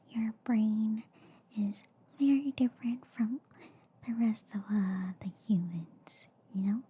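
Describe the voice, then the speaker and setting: Woman as alien girl doctor for ASMR roleplay: very mellow breathy whisper, slow, reassuring, slightly shady. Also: on the high side, heavily acted. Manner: soothing, hesitant, repeats reassurances softly. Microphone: close ASMR microphone. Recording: faint background noise, close-up ASMR.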